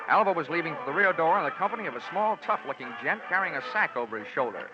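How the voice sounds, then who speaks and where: A man's voice: on the high side, lively intonation, rapid-fire, natural voice. An actor playing a wry, hard-boiled American insurance investigator, narrating his case with dry sarcasm in a 1940s radio drama. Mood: wry, brisk. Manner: sardonic, dry, wordy. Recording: muffled old radio recording with music.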